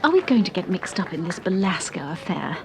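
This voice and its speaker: An actress playing a refined, inquisitive and affectionate British detective's wife in a radio drama. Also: female voice, lowish voice, sing-song, brisk, background noise. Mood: wary, curious. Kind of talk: BBC radio drama acting. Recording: radio drama with busy background sounds.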